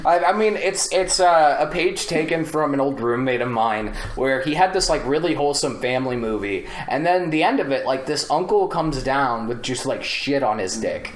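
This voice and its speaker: A man's voice: mid-range voice, lively intonation, normal speed, few pauses. A man chatting casually on a podcast panel in a jokey, rambling, profane way. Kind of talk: casual joking group chat. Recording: roomy, echoing group recording.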